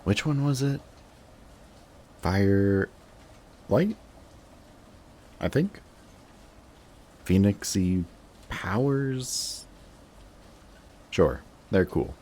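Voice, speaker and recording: A jaded supervillain, acted by a man, speaks in a deep, low voice. He needles his old rival with dry sarcasm and weary taunts, in the manner of a roleplay audio drama. Faint noise sits behind the voice. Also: lively intonation, extremely slow, pauses often, a little mellow. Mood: mocking, animated.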